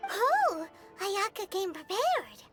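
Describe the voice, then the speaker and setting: A small childlike female game companion with an extremely high, squeaky voice. The delivery is chatty, perky and wildly animated in melody. Loud music plays behind it. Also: takes it slowly, exaggerated voice. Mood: curious. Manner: perky, exclamatory, informal. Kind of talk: video game character dialogue.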